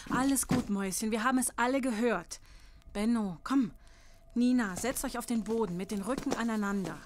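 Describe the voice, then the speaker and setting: A woman's voice: on the high side, moderate pace, background noise, natural voice. A woman playing an anxious, protective middle-aged mother in a horror audio drama, earnest and commanding. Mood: soothing, earnest.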